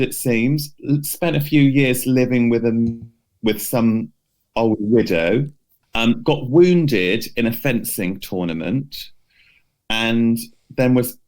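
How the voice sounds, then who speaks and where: Low-voiced man, slow and hesitant. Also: a little mellow. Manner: hesitant, loosely phrased, hedging. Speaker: podcast co-host presenting a history feature. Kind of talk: casual unscripted storytelling to a co-host.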